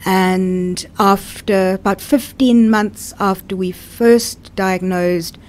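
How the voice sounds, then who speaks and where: A woman's voice: medium pitch, fairly even intonation, slow, darkish tone. A middle-aged woman with a South African accent, speaking as an interview guest and telling her own story conversationally.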